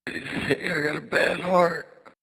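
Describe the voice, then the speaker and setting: A man's voice: on the high side, fairly even intonation, extremely slow, gravelly, sharp tone, breathy edge. A young American man, weak and tearful, pleading on a recorded jailhouse phone call. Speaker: jail inmate on a phone call.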